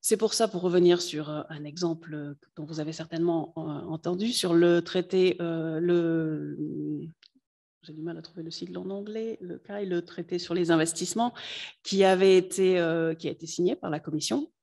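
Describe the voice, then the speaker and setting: French speaker lecturing students, lots of pauses hunting for the right word, middle pitch. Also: steady tone, gravelly, darkish tone. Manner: hesitant, often searching for words. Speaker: guest speaker on European affairs.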